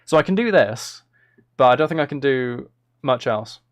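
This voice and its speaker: A man's voice: mid-range voice, expressive, normal speed, no room sound. British man chatting casually, dry close sound. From Britain. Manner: rambling, thinking aloud. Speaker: live streamer and musician. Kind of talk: casual chat while making music on a livestream. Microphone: streaming microphone, close.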